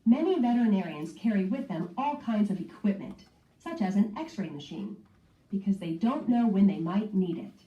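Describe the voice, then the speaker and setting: A woman's voice: mid-range voice, big pitch swings, normal speed, mellow. A woman narrator carefully reading a scripted educational text for children. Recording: muffled playback in echoey room, distant.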